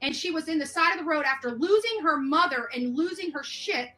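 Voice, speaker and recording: A woman's voice: sits high, lively intonation, brisk, sharp tone. A woman confronting someone with insistent, accusatory, repetitive questions on a phone call. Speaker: interviewer confronting a man by phone.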